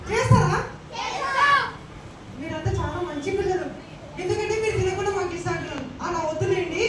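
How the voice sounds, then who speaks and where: Super high squeaky kid puppet, teasing kids, echoey noisy hall w crowd. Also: fairly quick, distant mic. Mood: cheerful, instructing. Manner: teasing, repeats questions to the children. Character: playful high-voiced child puppet quizzing children. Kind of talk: live puppet show for children. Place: large church hall puppet show, children around.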